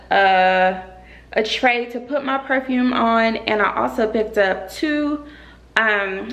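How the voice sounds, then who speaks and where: Young woman vlogging at home, slow and a bit gravelly, lots of ums, camera held close. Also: mid-range voice. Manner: chatty and rambling, many ums, repeats herself. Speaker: lifestyle vlogger. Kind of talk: casual chat to camera. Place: apartment living room and kitchen, indoors. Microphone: handheld camera on a grip, close.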